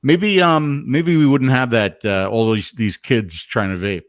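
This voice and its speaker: A man talking frankly as a podcast guest, halting and repeating words as he thinks. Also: medium pitch, no echo, studio quality. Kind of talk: casual chat.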